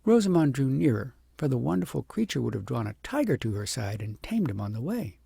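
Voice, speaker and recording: A man with a gravelly, husky voice narrating a storybook in a measured, deliberate and expressive way. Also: medium pitch, no room sound, studio quality. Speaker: audiobook narrator.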